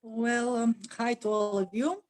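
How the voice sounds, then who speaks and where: A middle-aged woman from Bulgaria with a Bulgarian accent, speaking as an interview guest, pausing often with "uh" and restarting her sentences. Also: on the high side, steady tone, no room sound. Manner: halting, many "uh" fillers and restarts. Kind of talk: conversational interview answer.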